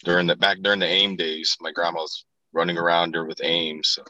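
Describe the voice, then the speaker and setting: A man's voice: deep voice, little pitch movement, fairly quick, sharp tone, no room sound, muffled recording. A laid-back man chatting casually, rambling through family stories and laughing often. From South Dakota. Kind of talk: casual chat.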